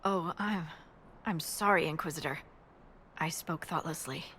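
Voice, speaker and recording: A woman's voice: deep voice, measured pace, bright, forceful tone, slight background noise. A woman voicing a friendly, informal army scout in a video game, giving briefings conversationally. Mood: apologetic. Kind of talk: video game dialogue acting.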